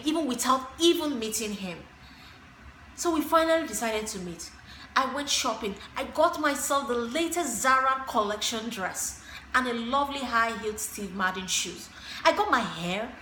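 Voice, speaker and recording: A woman's voice: a little high, pushed and bright. A woman acting an exasperated, dramatic single woman in a monologue, confiding in a girlfriend with theatrical, emphatic delivery. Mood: dreamy, hopeful. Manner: theatrical, emphatic, drawn-out stressed words. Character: exasperated, dramatic single woman venting about a disastrous date. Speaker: actress performing a monologue. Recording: roomy echo, faint background noise.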